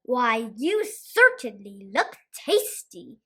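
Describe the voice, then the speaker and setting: Woman as storybook pig, greedy, coaxing, unhurried, bright. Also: on the high side, sing-song, pitched below the performer's own voice, heavily acted.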